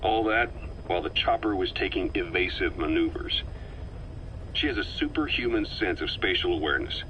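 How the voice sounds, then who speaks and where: A calculating veteran mercenary officer from a video game, very low and bright-edged in voice. He briefs with measured, wry persuasion, over a faintly noisy tape recording. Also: expressive, muffled recording. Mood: calm, analytical. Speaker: military intelligence officer.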